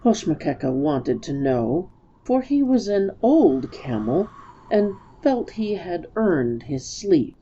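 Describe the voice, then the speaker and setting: A woman's voice: fairly low pitch, big pitch swings, takes it slowly, dark tone, breathy edge, muffled recording. A woman storyteller giving a dramatic reading of a story.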